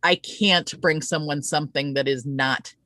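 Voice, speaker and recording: A woman's voice: low voice, steady tone, measured pace, pushed and bright, no room sound, studio quality. A woman hosting a casual promotional live-stream interview, speaking in an earnest, chatty and rambling way. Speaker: host of an online interview show.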